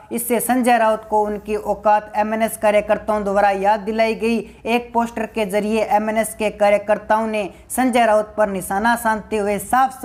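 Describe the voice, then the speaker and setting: A woman's voice: mid-range voice, darkish tone. Woman narrating scripted political news commentary.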